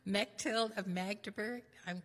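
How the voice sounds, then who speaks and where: A woman's voice: medium pitch, steady tone, unhurried, a bit bright. An older woman minister earnestly introducing speakers from a church lectern. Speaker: minister leading the service. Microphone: lectern microphone. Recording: echoey church hall.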